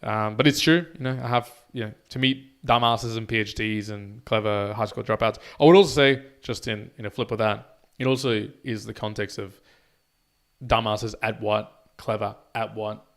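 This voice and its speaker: A man's voice: fairly low pitch, moderate pace. An Australian man co-hosting a podcast, reading listener messages aloud and chatting casually. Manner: relaxed, chatty, says "mate" often. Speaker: podcast co-host.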